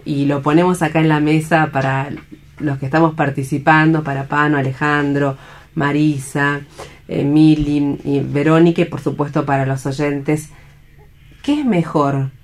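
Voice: medium pitch, flat delivery, unhurried. An Argentine host leading a round-table cultural discussion on the radio.

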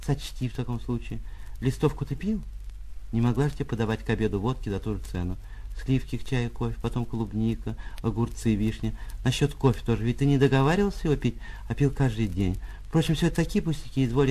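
A man's voice: medium pitch, quick pace, warm tone, lightly acted. A man voicing a coy, grasping landlady in a dramatised short story, coaxing and wheedling. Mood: coaxing, wounded. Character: coy plump blonde landlady, tearful yet grasping.

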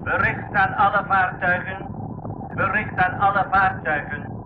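A man's voice: high-pitched, measured pace. A man playing a stern navy radio operator in a radio play, giving formal, emphatic alerts over a radio transmission. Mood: urgent, official. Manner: formal, emphatic, repeating announcements. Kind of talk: 1980s radio play acting. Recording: tinny, noisy radio transmission sound.

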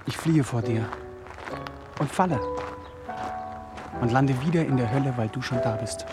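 Casting: male actor as a weary young homeless man, defiant and brooding, radio drama over loud music. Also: medium pitch, moderate pace, lightly acted. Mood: brooding, anguished. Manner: brooding, poetic, terse in dialogue. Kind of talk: radio drama acting with poetic monologues.

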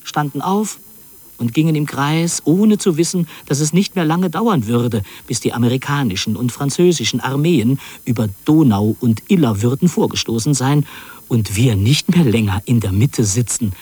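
A man playing a wry, reflective narrator recalling his wartime youth in literary radio drama, measured and lyrical. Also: mid-range voice, lively intonation, a little mellow, breathy edge, no echo. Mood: vivid, lyrical.

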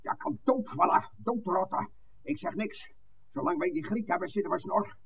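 A man's voice: high voice, animated, normal speed, creaky. An actor playing a sneering, defiant criminal henchman in a 1960s radio drama, coarse and taunting. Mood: spiteful, taunting. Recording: muffled, noisy old radio recording, distant.

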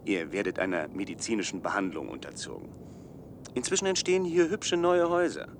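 A man's voice: lowish voice, big pitch swings, brisk, creaky, background noise. An actor playing a naive, condescending city man in a German science-fiction radio play, with a lecturing manner. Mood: patronizing. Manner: lecturing, self-assured.

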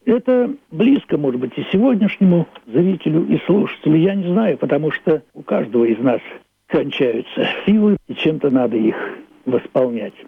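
Elderly male author for radio interview, measured and literary, slightly high-set voice with a husky edge, thin phone-quality sound. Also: very animated, no room sound. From Russia (Leningrad). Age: 80s. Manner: measured, thoughtful, literary phrasing. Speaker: playwright and author, interviewed about his play. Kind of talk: reflective radio interview monologue. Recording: muffled narrow phone-like line.

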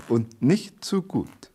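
An actor playing an unruffled, dryly cheeky royal servant in a radio play, with an unhurried, familiar manner. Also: male voice, on the high side, very animated, heavy background noise, full character voice. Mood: dry, sly. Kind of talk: German radio play acting.

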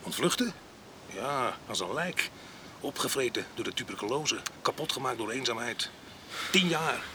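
An actor playing a rough, jealous ex-convict husband in a radio drama, coarse, domineering and mocking, with a gravelly voice. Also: male voice, mid-range voice, lively intonation, normal speed, noisy background, natural voice. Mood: bitter storytelling.

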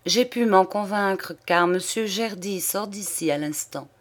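Woman voicing a grave, measured male magistrate. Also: mid-range voice, lightly acted. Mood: calm, reassuring. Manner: measured, formal, thoughtful. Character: grave, pensive, courteous male examining magistrate. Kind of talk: audiobook character dialogue.

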